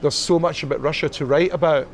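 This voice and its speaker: A middle-aged man with a Scottish accent, talking as a guest in a club. He speaks haltingly, with many fillers and restarts. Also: a little high. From Scotland. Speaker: interview guest, musician. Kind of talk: casual chat.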